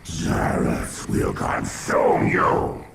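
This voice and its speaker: An actor playing a savage, arrogant alien monster warlord in a video game, bellowing and menacing with a harsh snarl. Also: male voice, extremely slow, heavy background noise. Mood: furious. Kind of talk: video game villain acting. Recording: loud music under the voice.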